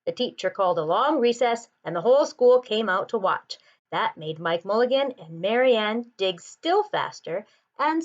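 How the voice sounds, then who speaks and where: A woman reading a children's picture book aloud, with expressive and deliberate phrasing. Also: medium pitch, muffled recording. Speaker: storybook reader.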